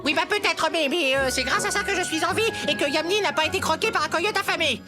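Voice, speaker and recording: A woman's voice: extremely high pitch, quick pace. A woman voicing a chatty, cowardly little cartoon squirrel with a cheeky, theatrical manner. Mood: proud, sheepish. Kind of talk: animated cartoon dialogue. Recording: loud music behind.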